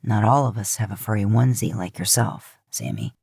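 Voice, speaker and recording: A woman voicing a gruff, affectionate adult man, a teasing big brother, in an audiobook story. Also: a bass voice, big pitch swings, a little fast, a little mellow, dry recording, clean studio sound, pitched below the performer's own voice, heavily acted. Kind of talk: acted dialogue in fan fiction audiobook.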